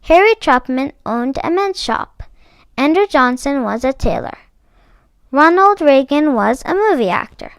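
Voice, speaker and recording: A woman with a notably high voice reads a children's picture book aloud. She takes her time and sets out each phrase deliberately, leaning on the important words. The sound is dry, with little room around her. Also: very animated, no echo. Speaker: read-aloud book club host.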